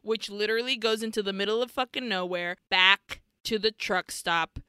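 Bright, crisp woman podcast host. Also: mid-range voice, normal speed, dry recording, studio quality. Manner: animated storytelling, acts out characters, swears a lot. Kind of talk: casual movie recap chat.

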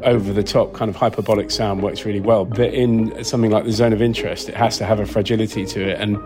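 A man's voice: fairly low pitch, steady tone, fast talker, music in the background. A man being interviewed about his craft, speaking in a thoughtful, informal, slightly hesitant way. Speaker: film sound designer being interviewed. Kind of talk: reflective interview answers.

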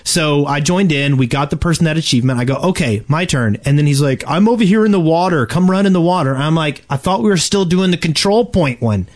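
A man hosting a casual gaming podcast, telling stories in an animated, conversational way and acting out exchanges. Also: a little high, fast, few pauses. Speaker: podcast host.

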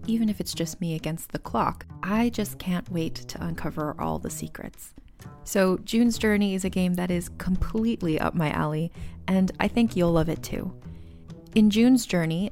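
A woman's voice: lowish voice, a little mellow. A woman presenting a scripted podcast ad in a conversational, first-person way.

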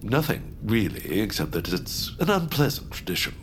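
Deep, swooping theatrical baron, gloomy and pompous, audio drama, music underneath. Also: male voice, big pitch swings, normal speed. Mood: curt, disdainful. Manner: pompous, melodramatic, formal. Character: gloomy, lovesick, theatrical baron who scorns good times.

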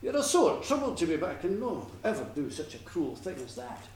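A man's voice: on the high side, very animated, a little fast, darkish tone, far from the mic. A man voicing a scornful, reluctant young lassie in a storybook tale, with a Scottish accent and a grumbling, mocking manner. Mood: distressed, reluctant. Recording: echoey room, faint background noise.

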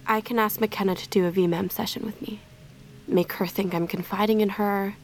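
A woman's voice: medium pitch, fairly even intonation, normal speed, close to an everyday voice. An actress playing an alarmed young woman, a wary and desperate friend, in an audio drama.

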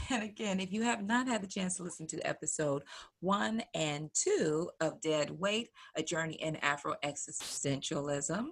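A woman's voice: low-pitched, lively intonation, a little slow, vocal fry. A woman co-hosting a podcast in a chatty, presenting style. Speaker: podcast co-host.

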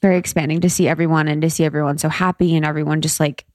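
A woman's voice: sits low, level tone, very fast, a little mellow, dry recording, studio quality. A woman from Ohio co-hosting a podcast in casual chat, effusive and gushing in her praise. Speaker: podcast co-host.

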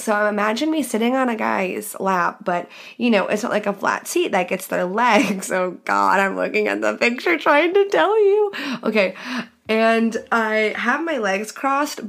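A young adult woman hosting a podcast, telling a crude story candidly and casually, laughing often. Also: a little high. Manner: giggly, frank and crude, lots of laughing asides. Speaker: podcast host.

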